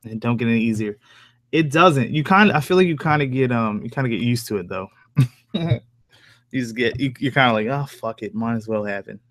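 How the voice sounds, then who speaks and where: A young man with a soft voice and a middling pitch hosts a casual livestream. His talk rambles along in loose slang with plenty of swearing. The sound is close and dry, with little room to it. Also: a little fast, no echo. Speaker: livestream host, horror story narrator. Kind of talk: casual livestream chat with viewers.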